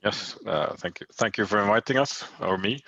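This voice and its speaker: A man's voice: on the low side, normal speed, fairly bright tone, no room sound, lo-fi sound. A man introducing himself on a conference panel, polite and a little hesitant. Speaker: panelist, sales and distribution manager.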